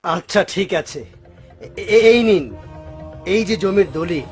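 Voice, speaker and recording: A courteous, careful sheikh from a cartoon. His voice is high for a man and he speaks politely, in measured phrases. Faint music sits behind him. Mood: measured, concerned. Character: courteous, careful male sheikh selling his inherited garden. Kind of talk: dubbed cartoon dialogue.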